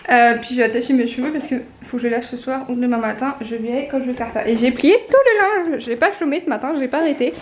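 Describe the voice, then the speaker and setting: A young woman with a blocked nose from a cold talks her way through her day, chatty and full of fillers and restarts. Her voice sits a bit high and bounces around an echoey room. A faint hiss runs underneath. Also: fast talker, few pauses. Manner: chatty, rambling, many fillers and self-corrections. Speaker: vlogger. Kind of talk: casual daily vlog chat. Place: at home.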